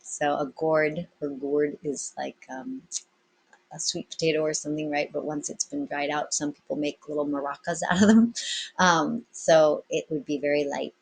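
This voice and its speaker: A woman's voice: low-pitched, fairly even intonation, moderate pace, a little mellow, husky, low-quality recording. Woman reading a story aloud. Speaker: read-aloud teacher. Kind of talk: expressive story reading with explanatory asides.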